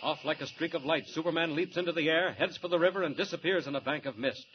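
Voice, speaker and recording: A man's voice: fairly high pitch, quick pace, lo-fi sound. A man narrating a radio serial in an urgent, declamatory style. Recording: hissy vintage radio broadcast.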